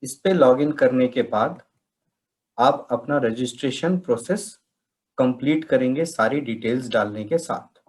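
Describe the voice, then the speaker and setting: A man's voice: mid-range voice, unhurried. A man narrating a tutorial as a trainer, explaining methodically step by step. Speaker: software trainer presenting a tutorial. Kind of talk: instructional slide narration.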